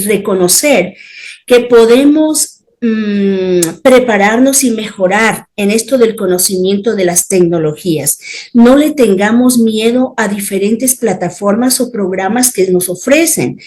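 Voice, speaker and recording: A woman from Colombia, a church leader speaking on a panel, animated and tagging her phrases with quick checks to the listener. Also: mid-range voice. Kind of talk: panel discussion.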